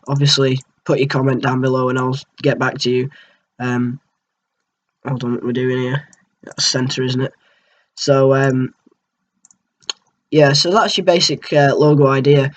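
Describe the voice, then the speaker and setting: A young man walks through a screen-recorded tutorial, pausing often with ums and uhs. He has a cold that leaves his throat tickly, and his voice sits at an average pitch. The home desktop mic sounds slightly dull. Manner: rambling, hesitant, lots of ums and uhs. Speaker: gaming channel host.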